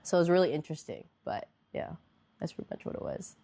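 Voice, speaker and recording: A woman's voice: low-pitched, very animated, quick pace, gravelly, mellow. A young woman streamer chatting casually with viewers, congested and nasal, rambling with lots of fillers.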